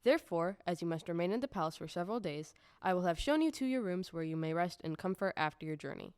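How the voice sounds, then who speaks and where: A woman's voice: lowish voice, animated, fast, a little mellow, clear, resonant tone, dry recording, studio quality, natural voice. A woman playing an officious little gatekeeper of a magical city in an audio play, formal, ceremonious and emphatic. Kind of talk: stage-style audio drama acting.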